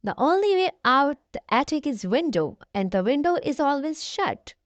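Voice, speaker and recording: A woman's voice: sits high, sing-song, moderate pace, dry recording. A woman voicing a reassuring old granny pig in a storybook, with a grandmotherly manner.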